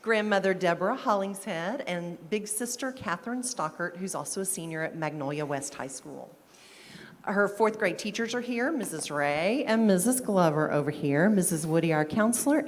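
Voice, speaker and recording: An upbeat, chatty woman introduces a student of the month and gushes over each detail. Her voice sits a little low and rings in a reverberant hall. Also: normal speed, slight background noise. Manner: upbeat, chatty, warmly enthusiastic. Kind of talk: presenting a student award.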